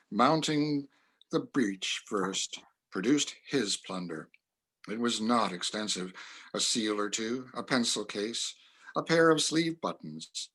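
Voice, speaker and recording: A man's voice: lowish voice, pushed and bright, breathy edge. A man reading scripted narration from a classic story as its narrator.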